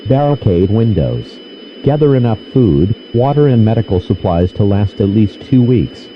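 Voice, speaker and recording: A fairly low male voice reads a formal emergency broadcast script. The delivery is stiff and synthetic, like an automated announcer. The radio sound is dull and lo-fi, with some noise behind it. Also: animated, normal speed.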